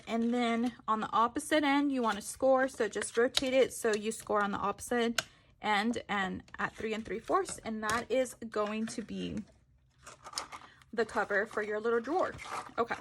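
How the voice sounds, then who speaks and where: A woman's voice: a little high, a bit bright, a little hiss or hum. A woman giving a casual step-by-step craft tutorial, informal, correcting herself and thinking aloud as she goes.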